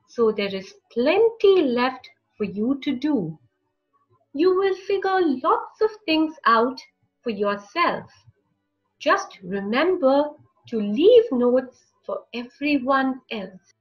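A high-voiced woman reads a storybook aloud to young children at a slow, unhurried pace. Her intonation swings expressively from phrase to phrase. The recording is slightly dull, with music playing behind her. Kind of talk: read-aloud picture book narration for young children.

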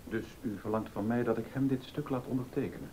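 A male actor playing a polite, conciliatory gentleman in a docudrama, courteous and placating. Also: mid-range voice, fairly even intonation, fast, slightly rough, lightly acted, poor audio. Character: polite, conciliatory gentleman patron, increasingly uneasy. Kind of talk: Dutch docudrama acting.

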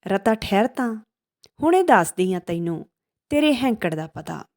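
A woman's voice: mid-range voice, big pitch swings, moderate pace, darkish tone. A woman voicing a threatening female fox in a children's folk tale. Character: menacing female fox, fat auntie.

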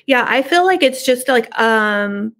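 A woman's voice: on the high side, lively intonation, a little slow, fairly bright tone. A middle-aged woman chatting informally as an interview guest, speaking from home over a video call. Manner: informal, conversational. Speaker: podcast guest, book reviewer and horror entrepreneur. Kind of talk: casual interview chat.

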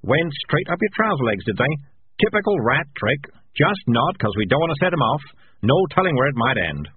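A man's voice: medium pitch, wildly swooping pitch, moderate pace, phone-quality sound, slight background noise, voice pushed up. A man voicing a sly, glib talking tomcat from a storybook, chatty and cajoling with mock cheer. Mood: mock cheerful.

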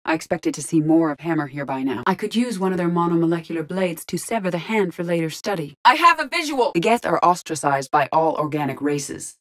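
Woman acting sci-fi game ai lady, kinda low voice, super composed, formal n precise, very analytical. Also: close to an everyday voice. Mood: calm, analytical. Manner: precise, formal, measured diction. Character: composed, analytical female artificial intelligence in android body. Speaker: game character, squad companion.

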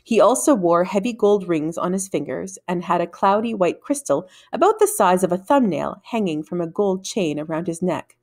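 Woman, middle-aged, slightly low voice, children's book narration, measured and deliberate. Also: lively intonation, a little mellow. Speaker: read-aloud narrator. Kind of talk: children's novel read aloud. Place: small room at home, indoors. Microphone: desktop microphone in front of her.

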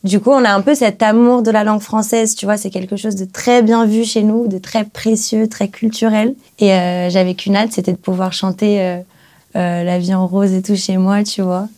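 A young woman from Brazil, a native Portuguese speaker, chatting as a guest singer in a small music studio, relaxed, with many pauses. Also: mid-range voice. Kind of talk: casual interview chat. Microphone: studio mic on stand.

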